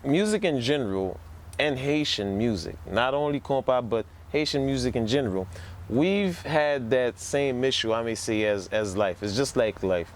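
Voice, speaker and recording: A man's voice: mid-range voice, animated, normal speed. A man from New Orleans talks casually and enthusiastically as a presenter, repeating words for emphasis. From New Orleans, United States. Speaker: presenter introducing a music production project. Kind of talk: casual informal talk to camera. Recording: music playing behind the voice. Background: music.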